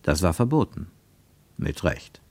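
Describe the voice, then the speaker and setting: A man with pronunciation reading literary prose for radio, measured and deliberate, with emphatic phrasing and pauses. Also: sits low, very animated, warm tone, dry recording. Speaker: narrator, reader of literary prose. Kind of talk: scripted literary narration for German radio.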